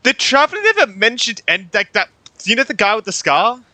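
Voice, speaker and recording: A man's voice: high voice, big pitch swings, fairly quick, sharp tone, no echo. A man giving casual playthrough commentary as a gaming streamer, musing aloud informally in loose phrases on a close microphone.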